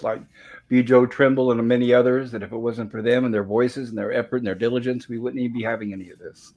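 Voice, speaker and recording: A man hosting a casual livestream chat, polite and welcoming, with a slightly raspy throat irritated by smoke. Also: mid-range voice. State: throat irritated by smoke, holding back a cough. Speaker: livestream host.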